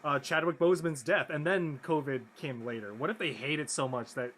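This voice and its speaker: A man chatting casually in a panel, halting and playful as he talks. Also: a little high, fairly bright tone, a little hiss or hum.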